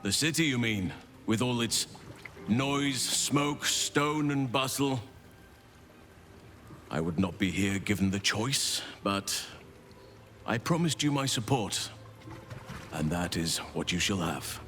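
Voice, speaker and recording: Low-voiced, solemn, gentle druid man. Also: expressive, faint music behind. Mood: earnest, sorrowful. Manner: measured, earnest, poetic. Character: gentle, solemn male druid who loves nature. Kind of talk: scripted video game dialogue.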